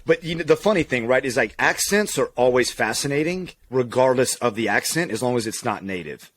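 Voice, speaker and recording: A man from Louisiana, maybe in his thirties, a physical therapist telling stories in a relaxed, candid podcast interview and laughing easily. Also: mid-range voice, fairly quick, slight background noise. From Louisiana, USA. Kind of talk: casual conversational interview.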